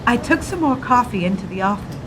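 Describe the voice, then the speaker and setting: Radio drama: confiding, worried woman from the bank, acted, higher pitch, noticeably rumbly background. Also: expressive, a little fast, close to an everyday voice. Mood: anxious, confiding. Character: earnest, anxious female bank worker confiding news. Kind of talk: radio play dialogue. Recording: clear rumbling background noise.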